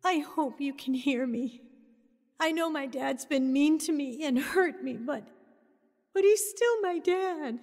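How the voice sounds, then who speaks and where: A high, slow, tearful young woman in a radio drama, pleading with a voice that climbs higher and breaks with worry. She sounds distraught. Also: a little mellow, no room sound, studio quality. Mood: desperate prayer.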